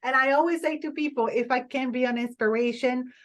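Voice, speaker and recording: An Italian-accented woman with a fairly high voice talks as a podcast guest. English is her second language, and her speech is earnest and encouraging, dotted with "you know". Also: fairly quick. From Italy. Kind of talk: conversational interview.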